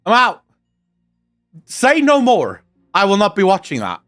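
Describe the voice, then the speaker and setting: Cursing South African streamer, slightly high voice. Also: male voice, huge pitch swings, a little slow, fairly bright tone, soft background music. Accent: South African, Afrikaans. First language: Afrikaans. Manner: profane, ranting and joking. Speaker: live streamer. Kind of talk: casual live-stream chat, answering chat.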